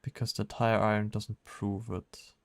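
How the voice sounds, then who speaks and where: A man's voice: on the low side, flat delivery, unhurried, vocal fry, dry recording. A man streaming a game with casual commentary, wryly musing aloud to himself. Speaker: live streamer playing a detective game.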